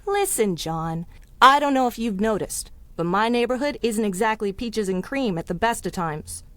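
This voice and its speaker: An actress playing a plucky, stubborn, warm-hearted young city woman in a 1930s pulp radio drama, with spirited, slangy old-time talk. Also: medium pitch, expressive, normal speed, no echo, natural voice. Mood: bold, insistent.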